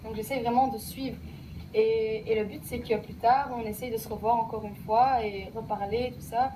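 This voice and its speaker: A woman's voice: a little high, steady tone, normal speed, distant mic, background noise. A young woman, a former student, speaking casually in a radio interview with many fillers about what she learned. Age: young adult. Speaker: alumna, guest.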